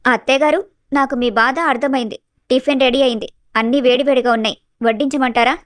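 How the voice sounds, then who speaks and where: A woman playing a sly, sweetly bossy young daughter-in-law in an animated family story. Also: high voice, quick pace, no room sound, clean studio sound. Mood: mock-sweet. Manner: mock-sweet, solicitous.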